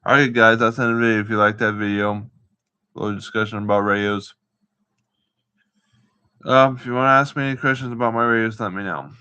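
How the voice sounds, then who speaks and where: A man giving a casual, rambling show-and-tell as an amateur vlogger, haltingly searching for words and repeating himself, on muffled home video audio. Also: lowish voice, fairly even intonation, bright, forceful tone, clear, resonant tone. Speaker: amateur vlogger showing gear.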